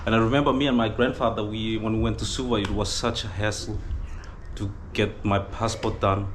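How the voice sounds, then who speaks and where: A man's voice: fairly low pitch, steady tone, brisk, background noise. A man in his twenties with a Danish accent tinged with Fijian, answering viewer questions hesitantly in a casual chat, with many fillers. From Denmark. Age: 20s. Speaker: vlogger. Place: village gathering in Fiji.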